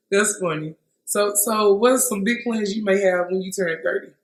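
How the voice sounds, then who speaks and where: A woman's voice: mid-range voice, normal speed. A woman, co-hosting a casual group chat, laughing often. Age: 30s. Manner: laughs often, animated storytelling. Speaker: podcast co-host. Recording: echoey room.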